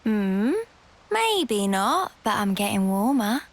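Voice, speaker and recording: Frank, tough young fighter. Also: female voice, mid-range voice, sing-song, takes it slowly. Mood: teasing. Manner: frank, informal. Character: blunt, teasing, tough but caring young woman fighter. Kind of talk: video game cutscene acting.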